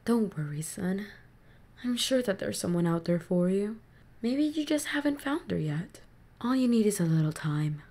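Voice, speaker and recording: A woman playing a devoted, excitable mother in an audio drama, expressive and motherly. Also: medium pitch, normal speed. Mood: gentle, reassuring. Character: devoted, excitable mother, an eccentric village baker. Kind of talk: amateur audio drama acting.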